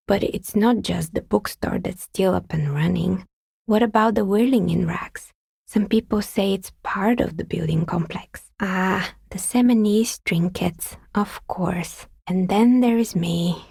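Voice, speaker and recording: An actress playing a calm, wry woman who makes and sells novelty dice in a video game, speaking in a husky, measured, understated way. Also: medium pitch, no echo, studio quality, full character voice. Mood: reflective, explaining. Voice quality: husky, breathy, slightly creaky voice. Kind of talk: video game character dialogue.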